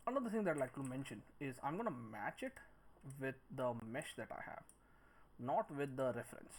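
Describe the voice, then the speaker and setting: A man leads a hands-on 3D modelling tutorial, talking through each step as he works. His pitch is medium and moves up and down a lot, and he speaks in short, broken-up phrases. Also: low-quality recording. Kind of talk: step-by-step screen-recorded software tutorial, thinking aloud.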